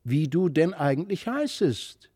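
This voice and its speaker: A man's voice: on the high side, sing-song, unhurried, warm tone, husky, no echo, studio quality, voice pushed up, exaggerated voice. A man voicing a naive, sweet little girl with a plaintive, childlike manner in a storybook reading. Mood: coaxing. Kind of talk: literary audiobook reading.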